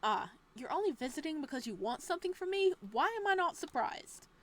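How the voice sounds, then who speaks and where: A woman's voice: high-pitched, very animated, a little fast, fairly bright tone, voice pushed up, exaggerated voice. A woman voicing a cranky, paranoid middle-aged aunt who snaps and sneers at her relative in a game. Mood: sarcastic. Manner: snappish, scornful.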